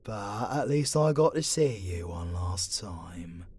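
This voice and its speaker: An actor playing a captive demon, defiant and gruff but tender, with a British accent and a hushed, breathy, colloquial delivery in an ASMR roleplay. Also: deep voice, expressive, takes it slowly, slight background noise. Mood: fond, grateful.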